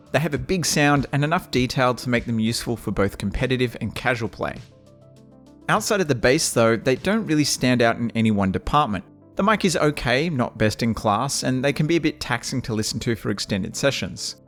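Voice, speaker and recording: A man with a nasal voice, giving a measured, wry scripted review of audio gear. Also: medium pitch. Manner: measured, wry and matter-of-fact. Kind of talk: scripted product review narration.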